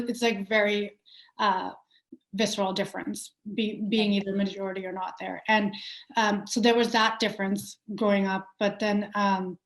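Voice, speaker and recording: A young adult woman from Pakistan, a company founder answering interview questions in a thoughtful way, with many fillers and restarts. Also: mid-range voice. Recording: roomy echo.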